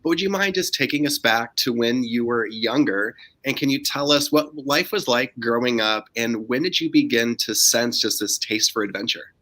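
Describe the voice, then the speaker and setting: Male co-host, upbeat interviewer, bright, forceful voice. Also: medium pitch, moderate pace, hardly any pauses. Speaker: podcast co-host. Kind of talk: casual interview questions.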